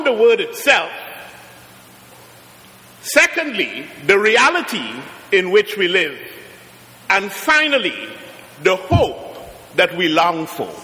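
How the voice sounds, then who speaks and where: A man's voice: sits high, pushed and bright. Trinidadian preacher man in a reverberant church. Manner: preaching with rising emphatic peaks and slow drawn-out phrases. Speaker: guest preacher. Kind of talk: sermon. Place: large church.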